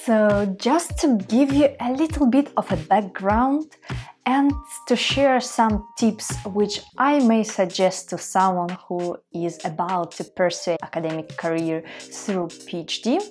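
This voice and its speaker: A woman's voice: medium pitch, lively intonation, measured pace, a little mellow, soft background music. A young woman from Russia with a Russian accent talks casually to the camera from a desk in a small room at home, earnest and chatty, with careful English and small restarts. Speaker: vlogger.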